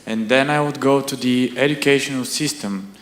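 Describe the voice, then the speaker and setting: A man's voice: medium pitch, moderate pace, fairly bright tone, reverberant. A young man with a Bulgarian accent, originally from Bulgaria, asking a long question from the audience and searching for words. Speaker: audience member. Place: lecture hall.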